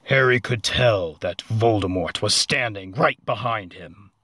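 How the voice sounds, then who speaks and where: A man narrating a comic story as a dramatic reading, deliberate and mock-serious, with dramatic pauses. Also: fairly low pitch, sharp tone, slightly rough, no room sound, studio quality.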